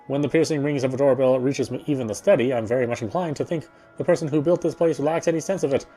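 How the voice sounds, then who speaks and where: A man reading first-person story narration aloud in a theatrical, fussy gentleman's manner. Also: medium pitch, fast, faint music behind. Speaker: game player reading aloud.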